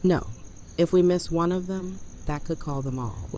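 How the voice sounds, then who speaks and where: A woman's voice: fairly low pitch, moderate pace, mellow, slight background noise, lightly acted. A woman playing a hard, stubborn, protective survivor leader in an audio drama, speaking curtly. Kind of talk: fan audio drama acting.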